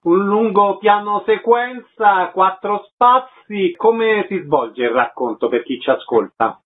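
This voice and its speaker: Man, slightly high voice, radio interviewer, prepared questions, narrow telephone-like sound. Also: expressive, slow pace. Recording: thin phone-like narrow-band sound.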